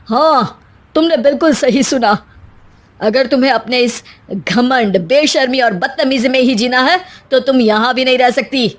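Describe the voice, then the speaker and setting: A woman's voice: high voice, moderate pace, lightly acted. A woman voicing a stern, disappointed middle-aged traditional father in a cartoon story, reproachful and lecturing.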